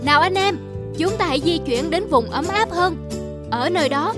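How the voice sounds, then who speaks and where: A wise, proud monkey chief from a children's cartoon. The delivery is measured and commanding, in a fairly high voice over music. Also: female voice, lively intonation. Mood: reassuring.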